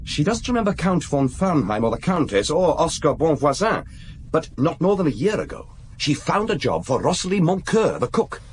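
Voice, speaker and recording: An actor playing a dutiful young police assistant in a radio drama, reporting to his chief in a deferential, brisk way. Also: on the high side, very animated, slight background noise. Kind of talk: 1990s BBC radio drama acting.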